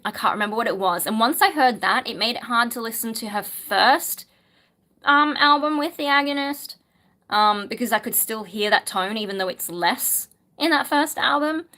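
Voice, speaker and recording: A woman's voice: mid-range voice, fairly bright tone. A woman, a singer, chatting casually on a livestream, reading viewer questions aloud and musing with frequent ums. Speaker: singer and streamer answering chat.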